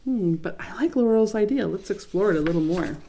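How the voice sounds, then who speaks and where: A young adult woman reading a picture book aloud to children, gently commenting and asking them questions. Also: medium pitch, expressive, fairly quick, a little hiss or hum. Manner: gentle, asks listeners questions, adds comments. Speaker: children's story reader.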